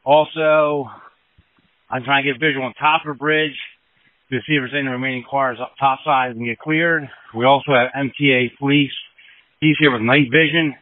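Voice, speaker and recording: A man's voice: mid-range voice, fairly even intonation, moderate pace, dry recording. A male fire battalion officer talking in emergency dispatch traffic over a two-way radio. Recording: narrow dry two-way radio transmission.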